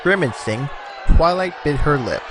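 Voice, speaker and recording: A man's voice: fairly high pitch, lively intonation, a little slow, noisy background. A man narrating a scripted story expressively, as the narrator of an audio fan fiction.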